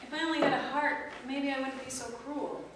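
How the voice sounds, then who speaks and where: A woman's voice: high-pitched, fairly even intonation, brisk, a crowd in the background, natural voice. A woman playing a snappish, name-calling Tin Man in an amateur church skit. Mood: mock-sorry. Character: rude clumsy Tin Man, then a cowardly lion. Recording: echoey hall from far off, noisy.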